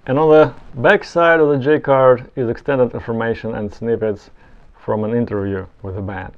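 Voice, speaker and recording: A man's voice: medium pitch, fairly even intonation, moderate pace, phone-quality sound. A man giving explanatory, semi-scripted narration as a video presenter. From Soviet Union.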